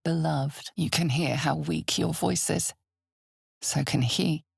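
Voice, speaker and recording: Casting: female dark fantasy game narrator, ominous and knowing, husky low register, slow deliberate pacing. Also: steady tone, no echo, clean studio sound, full character voice. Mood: ominous, solemn. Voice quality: husky, gravelly, breathy. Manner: measured, deliberate, hushed theatrical delivery. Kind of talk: dramatic scripted second-person fantasy game narration.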